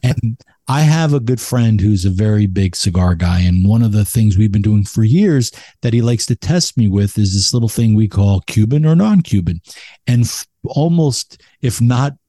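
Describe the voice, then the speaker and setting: A man's voice: fairly low pitch, lively intonation, moderate pace, dark tone, no room sound, studio quality. A man speaking as an expert guest in a casual chat, emphatic and opinionated about his craft. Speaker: expert podcast guest, cigar blender.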